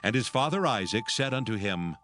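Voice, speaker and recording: A man narrating a dramatized scripture reading in a measured, solemn storytelling manner. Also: mid-range voice, lively intonation, music in the background.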